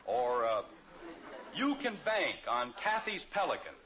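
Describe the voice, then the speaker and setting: A man's voice: medium pitch, very animated, takes it slowly, a bit bright. An actor playing an exasperated, sarcastic middle-aged American father in a mid-century radio sitcom. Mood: encouraging, brisk. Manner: dry, sarcastic. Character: exasperated, wisecracking father of a suburban family. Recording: dull, noisy old radio recording.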